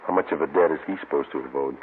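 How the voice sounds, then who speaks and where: An actor playing a businesslike police sergeant, speaking in clipped, deadpan lines in a vintage radio drama. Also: male voice, very deep voice, rapid-fire. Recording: tinny, very noisy old radio recording.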